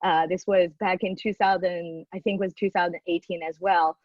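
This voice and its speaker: A woman's voice: on the low side, level tone, normal speed, darkish tone, dry recording, lo-fi sound. A woman design speaker chatting on a panel, hesitant with many fillers and restarts. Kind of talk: casual panel chat. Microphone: video call.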